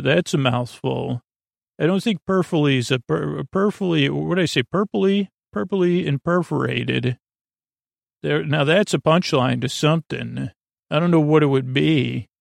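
A man hosting a sleep podcast in a meandering, halting monologue that keeps drifting off topic, with a gravelly, creaky voice. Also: mid-range voice, no echo, studio quality. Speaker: podcast host.

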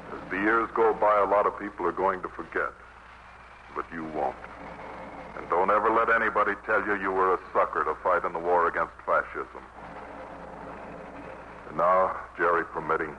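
A steadfast army chaplain acted by a man speaks to soldiers in a plain, earnest, wry way. The voice is low, in 1950s radio drama style. The recording is dull and noisy. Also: natural voice. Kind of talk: 1950s radio drama acting, informal sermon. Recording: muffled, noisy vintage radio broadcast.

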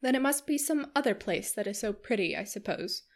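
A woman reader voicing a cheerful, giddy young wife who gushes in quick, eager chatter. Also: medium pitch, lively intonation, close to an everyday voice. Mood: gushing. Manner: gushing, breathless chatter.

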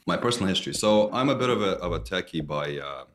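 A man with an extremely low voice talks through his answers. He hesitates, fills pauses and restarts his sentences. He speaks as a guest in a podcast interview. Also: expressive, a bit bright. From Canada. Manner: hesitant, many fillers and restarts. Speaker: startup CEO guest.

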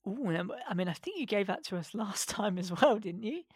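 A man co-hosting a football podcast, easygoing and quick to laugh in casual chat. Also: high-pitched, lively intonation, loud music behind the voice. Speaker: podcast co-host, sports broadcaster.

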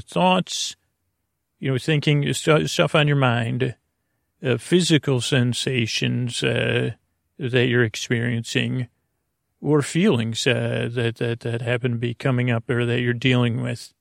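A man with a creaky, gravelly voice hosting a bedtime podcast in a lulling, meandering, halting monologue full of stammers and tangents. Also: mid-range voice, no echo, clean studio sound. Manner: soothing, lulling. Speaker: podcast host. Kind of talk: rambling bedtime monologue to lull listeners to sleep.

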